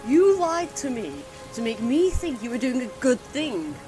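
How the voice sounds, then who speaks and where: A woman's voice: sits high, big pitch swings, moderate pace, dark tone, faint music behind. A woman playing a hurt, defiant young companion in an audio drama, confronting someone with bitter, emotional accusations. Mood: angry, accusing.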